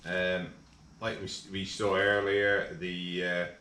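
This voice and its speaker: A middle-aged Scottish man casually explaining a kit installation in a workshop, relaxed and full of ums. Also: low voice, takes it slowly. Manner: relaxed, hesitant with frequent ums. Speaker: workshop vlogger. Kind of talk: casual how-to demonstration. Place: large indoor workshop. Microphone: camera mic from overhead. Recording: echoey workshop with faint hum.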